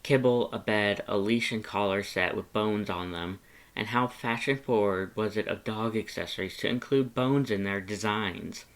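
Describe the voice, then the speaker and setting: A man's voice: lowish voice, moderate pace. A man reading scripted story narration, as the narrator of a piece of fiction.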